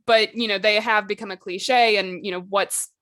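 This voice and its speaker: A woman speaks as a podcast co-host in a casual, conversational style. Her pitch sits in a middle range, and she explains things informally, sprinkling in filler phrases. The sound is close and dry, with very little room. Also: fairly even intonation, moderate pace, fairly bright tone, dry recording. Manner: chatty, informal, peppers talk with "like" and "you know".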